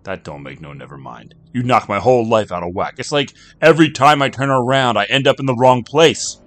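A man voicing a violent, bitter middle-aged brawler who shouts coarsely. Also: mid-range voice, lively intonation, fairly quick, pitched above the performer's own voice, lightly acted. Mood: angry. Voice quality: shouting, coarse and rough-tongued.

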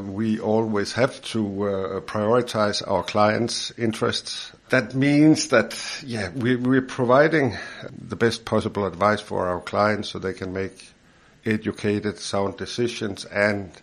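A man in his fifties from Denmark with a Danish accent, a financial adviser chatting in a studio podcast interview, repeating words and chuckling as he explains. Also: on the low side.

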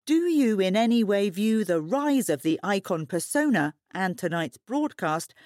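A woman's voice: medium pitch, sing-song, darkish tone, no echo, clean studio sound. A woman playing a composed, probing news anchor conducting a formal broadcast interview in an audio drama. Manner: formal, measured questioning.

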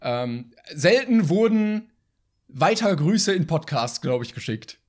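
A young man co-hosting a casual podcast, with a voice a bit higher than most men's. He jokes around and laughs often. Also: sing-song, slow. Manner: joking, laughs a lot. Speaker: podcast co-host. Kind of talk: casual chat.

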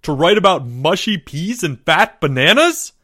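Man as an agitated, raised-pitch male schoolteacher. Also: big pitch swings, moderate pace, pushed and bright, breathy edge, exaggerated voice. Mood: indignant. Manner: snapping, exclamatory. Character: agitated, indignant male schoolteacher bursting with alarm. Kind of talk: storybook character voice.

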